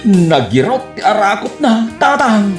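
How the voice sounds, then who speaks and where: A man with an Ilocano accent playing a gullible, lovestruck, excitable suitor in a comedy radio drama. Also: sits high, a little slow, over music. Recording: roomy, echoing radio drama sound.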